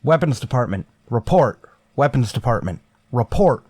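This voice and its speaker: Give the part to a stern starship captain from a golden age sci-fi radio play. He is wary and commanding, with clipped delivery and a medium-pitched voice. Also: sing-song, normal speed, darkish tone, husky. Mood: urgent command. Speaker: actor.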